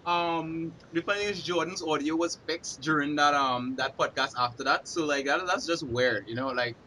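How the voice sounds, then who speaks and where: A man's voice: a little high, moderate pace, bright, forceful tone, slight background noise, poor audio. A man hosting a casual podcast, rambling with many ums and restarts. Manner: rambling, lots of fillers and restarts. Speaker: podcast host. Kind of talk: casual chat.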